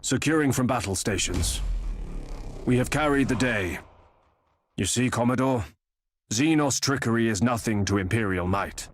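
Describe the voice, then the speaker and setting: A man playing a resolute, dignified naval high admiral in a video game, formal and commanding. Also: expressive, normal speed, pauses often, slight background noise, close to an everyday voice. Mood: dignified resolve. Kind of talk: video game cutscene acting.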